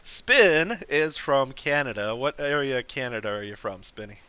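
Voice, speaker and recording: A man's voice: mid-range voice, animated, normal speed, pushed and bright, clear, resonant tone, no echo. A man hosting a live stream, chatting casually with a guest in a rambling way full of fillers and restarts. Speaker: host.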